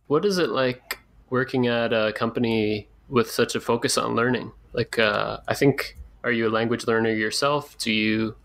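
A man's voice: medium pitch, normal speed, few pauses, fairly bright tone. A man hosting a podcast interview, asking questions in a conversational style.

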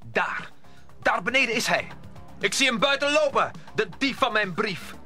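High-voiced, fiery young swordsman. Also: expressive, moderate pace, bright, forceful tone, soft background music, heavily acted. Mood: agitated, vengeful. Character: hot-headed, proud young male swordsman newly arrived in Paris. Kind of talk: audio drama acting.

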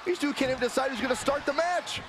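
Keen wrestling game commentator, really high male voice, emphatic, tons of arena noise behind. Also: fast, crowd noise. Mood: urgent. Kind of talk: sports commentary.

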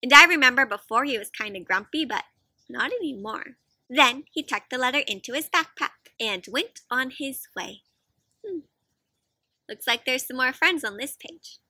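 Casting: young woman, children's read-aloud host, high-pitched and animated, inviting little listeners in with questions. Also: no room sound. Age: young adult. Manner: expressive, asks frequent questions, gasps. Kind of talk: interactive picture-book read-aloud for children. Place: outdoors in a fenced backyard.

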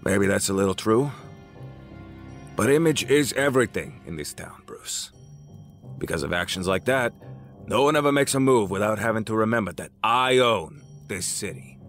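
Guy doing a smug mob boss, kinda low voice, taunting and threatening, super animated, audio drama. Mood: smug, cynical. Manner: taunting, condescending, drawn-out threats. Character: menacing male crime boss, smug, arrogant, cynical. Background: music.

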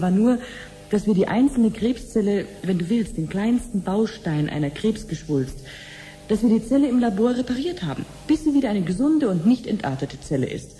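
An actress playing an obliging clinic researcher in a 1970s radio play, explaining things patiently and conversationally. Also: female voice, mid-range voice, warm tone, a little hiss or hum, natural voice. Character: chatty, obliging female clinic researcher showing her sister around.

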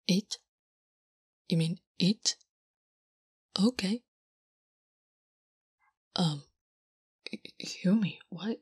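A woman voicing a big, gentle, naive orc warrior woman in a soft, breathy whisper, with halting, stilted speech, for an ASMR roleplay. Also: lowish voice, no echo, heavily acted. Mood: shy, curious. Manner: halting, literal, third-person stilted speech.

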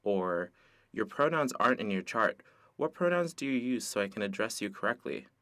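Young man, low voice, casual relaxed reading, training narration. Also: normal speed, dry recording, clean studio sound. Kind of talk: scripted narration and role-play.

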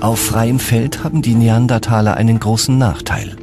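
A man's voice: mid-range voice, fairly even intonation, moderate pace, over music. A man reading scripted, dramatic narration for a documentary.

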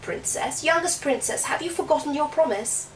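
Woman voicing a croaky, insistent little storybook frog. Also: high-pitched, moderate pace, roomy sound, a little hiss or hum, pitched above the performer's own voice, lightly acted. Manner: pleading, insistent. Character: small male talking frog, eager and insistent. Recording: distant mic, music behind the voice.

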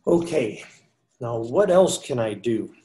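A man's voice: mid-range voice, expressive, slow pace, noisy background. An older man playing a humble, pious young friar, musing aloud to himself in amateur readers theater. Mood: earnest musing. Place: indoors at home, video call.

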